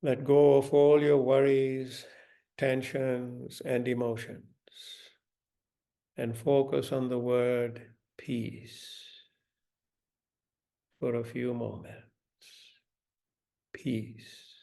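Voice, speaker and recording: A man leading a guided meditation in a gentle, deliberate manner, giving instructions with long pauses. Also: medium pitch, little pitch movement, creaky.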